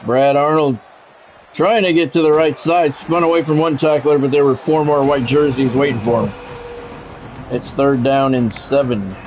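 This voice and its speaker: A man's voice: medium pitch, fairly even intonation, normal speed, darkish tone, a little hiss or hum. A man doing sports play-by-play for a video game stream, phrasing it like a radio announcer with casual asides. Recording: dull, lo-fi audio with music behind.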